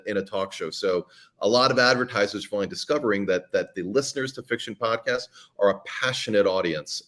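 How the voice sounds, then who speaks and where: A middle-aged man with a fairly low voice talks through ideas in a relaxed interview. He circles back on his words often, with frequent ums and restarts. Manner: thoughtful, many restarts and fillers. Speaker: podcast creator and guest. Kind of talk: casual interview talk.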